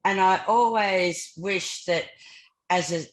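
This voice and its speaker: A woman's voice: mid-range voice, slow. An older woman with an Australian accent chatting casually as a podcast host, often repeating words as she goes. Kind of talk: casual conversation. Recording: roomy, echoey sound.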